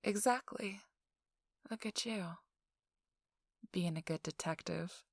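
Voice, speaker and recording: A woman's voice: mid-range voice, vocal fry, dry recording. A woman playing a feisty, self-assured young socialite in an intimate ASMR audio roleplay, teasing and dryly sarcastic, sometimes dropping toward a whisper. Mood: teasing, smug. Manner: slow, teasing, dry sarcasm, drifting into whispers.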